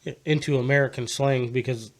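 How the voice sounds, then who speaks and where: A man with a mid-range voice hosts a relaxed podcast conversation. He talks loosely and swears all the time. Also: medium pitch, brisk. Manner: laid-back, swears constantly, laughs.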